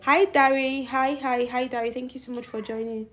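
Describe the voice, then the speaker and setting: A woman's voice: high-pitched, fairly even intonation, moderate pace. A middle-aged woman with a young-sounding voice hosting a casual live stream, chatting and answering viewer comments. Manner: youthful-sounding voice. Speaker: channel host.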